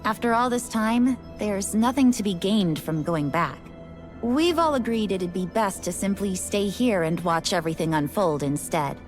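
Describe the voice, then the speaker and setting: An actress playing a resigned, matter-of-fact station worker in a video game, explaining things in a casual, unbothered way. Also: female voice, mid-range voice, lively intonation, normal speed, soft background music, lightly acted. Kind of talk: video game cutscene voice acting.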